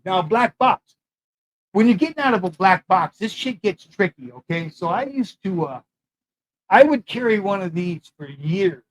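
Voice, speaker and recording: Brash male ex-con from California; loud, profane, boastful, slightly raised pitch, rambling delivery. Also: rough recording. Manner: blunt, profane and boastful street talk; rambling, loses his thread. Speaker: former prisoner sharing prison know-how. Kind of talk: casual monologue to camera. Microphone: talking into a mic.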